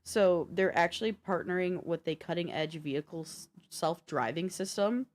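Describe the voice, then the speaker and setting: Low-pitched woman, casual podcast co-host. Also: moderate pace. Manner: informal, slangy, thinking aloud. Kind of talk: casual tech and games chat.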